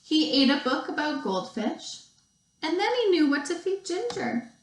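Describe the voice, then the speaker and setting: Slow, high-voiced woman reading a storybook, echoey room. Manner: expressive storytelling with deliberate pauses and stretched emphasis. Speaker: second grade teacher. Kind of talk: picture book read-aloud for young children. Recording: roomy, echoey sound.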